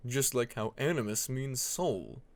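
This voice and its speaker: A man's voice: mid-range voice, animated, measured pace, no room sound. A man voicing a bookish, skeptical male dragon in a fantasy audiobook, matter-of-fact and insistent. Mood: musing.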